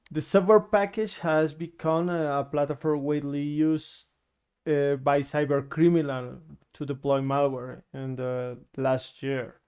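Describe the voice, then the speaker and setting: A man from Colombia presents his research in careful, unhurried English, his voice smooth and crisp. His delivery stays level and monotone, with frequent pauses and restarts as he searches for words. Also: mid-range voice. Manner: halting, many fillers and restarts. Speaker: security researcher. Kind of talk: conference presentation.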